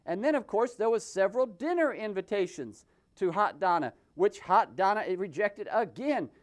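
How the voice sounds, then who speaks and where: A man narrates a comic puppet story with big swings in pitch. He is theatrical and mocking, and sounds as if he is making it up as he goes. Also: animated, normal speed. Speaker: host and puppeteer.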